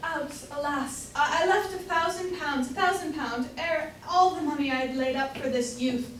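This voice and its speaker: A woman's voice: high voice, normal speed, lightly acted. A woman playing a distressed, lamenting mother in an amateur staged reading, in a plaintive manner. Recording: echoey room from far off, faint noise.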